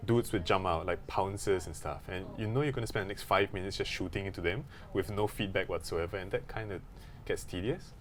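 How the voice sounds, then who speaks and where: A man co-hosting a gaming podcast, chatting casually in a jokey, animated storytelling way. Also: low voice, fairly quick. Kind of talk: casual chat about video games.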